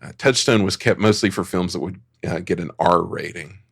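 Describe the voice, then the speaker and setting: A man's voice: sits low, moderate pace, vocal fry, a bit bright, husky, dry recording, clean studio sound. A man giving an informal history talk as a podcast panelist, conversational with dryly wry asides.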